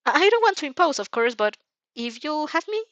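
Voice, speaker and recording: A timid, stubborn schoolgirl voiced by a woman reader stammers in a raised, high pitch. It is a hesitant fan fiction performance, recorded with a slightly dull sound. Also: big pitch swings, brisk, fairly bright tone, dry recording. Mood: shy, hopeful. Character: shy but stubborn, timid teenage schoolgirl. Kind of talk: amateur fan fiction dub.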